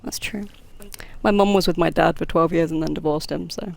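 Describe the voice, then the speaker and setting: A woman's voice: sits low, steady tone, brisk, vocal fry, no room sound. A woman streaming a game and chatting casually as host, laughing often and poking fun at herself. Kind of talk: casual chat while gaming.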